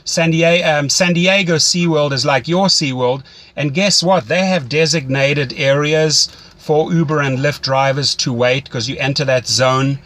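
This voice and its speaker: A man's voice: on the high side, unhurried, few pauses, a bit bright, no room sound. Man coaching in a conversational way. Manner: instructive, repeats phrases for emphasis. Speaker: rideshare driving coach. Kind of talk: conversational phone mentoring.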